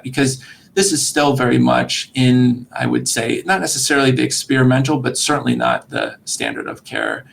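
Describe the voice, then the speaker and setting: A man speaking as a medical expert guest, explaining in a measured, articulate way with a gravelly, creaky voice. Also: medium pitch, slight background noise, low-quality recording. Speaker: surgeon-researcher guest. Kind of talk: podcast interview explanation.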